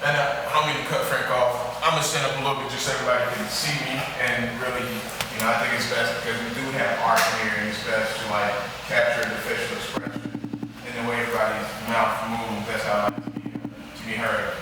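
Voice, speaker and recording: A man from the Congo speaking up in the audience at a gallery talk, thoughtful and unhurried, often adding "right?". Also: mid-range voice, little pitch movement, heavy background noise. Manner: thoughtful, slow with tag questions. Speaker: audience member. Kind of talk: reflective comment from the floor. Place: art gallery. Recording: echoey gallery room, far from mic.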